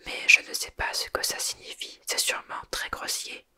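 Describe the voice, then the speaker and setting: Earnest smart teen witch girl whispered by a reader, bright hush. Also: normal speed. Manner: slightly higher than usual, anxious.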